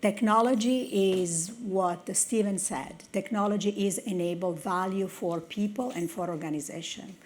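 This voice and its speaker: A woman with an Italian accent speaks at a conference at a medium pitch. She tells stories earnestly, pausing and restarting as she goes. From Italy. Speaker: conference speaker, tech executive. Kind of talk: conference keynote talk. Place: conference hall with audience.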